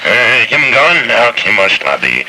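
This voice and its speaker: An actor playing a deadpan cowboy's sidekick who speaks in slow, clipped broken phrases in a 1970s radio comedy spoof. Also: male voice, mid-range voice, exaggerated voice, rough recording. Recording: hissy 1970s radio aircheck tape.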